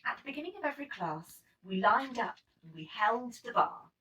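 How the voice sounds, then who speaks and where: Woman storyteller, high lively voice, expressive children's narration, recorded a little far from the mic. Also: normal speed, highs cut off. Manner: animated, emphatic storytelling with acted-out dialogue. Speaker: storybook reader.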